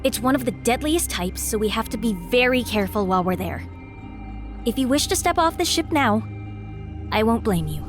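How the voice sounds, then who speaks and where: A woman acting as an eager robot scientist with a fairly high voice. She is earnest, in a sci-fi audio drama with faint music behind. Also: lively intonation, normal speed, close to an everyday voice. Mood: earnest, nervous.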